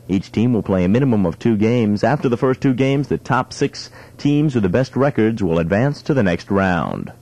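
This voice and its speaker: A young man reads the local news on radio in a low, mellow voice. He follows a script and occasionally trips on a word. The sound is dry and close, as in a small studio. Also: no echo, low-quality recording. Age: young adult.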